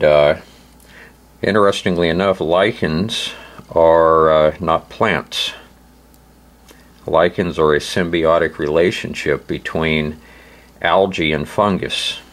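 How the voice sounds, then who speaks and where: An extremely low-pitched male voice, hoarse as if recovering from laryngitis. He explains things informally, like a hobbyist host, at a slow pace. His delivery is halting, with many pauses between short phrases. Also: a bit bright. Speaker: host of a survival channel. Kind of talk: informal instructional narration.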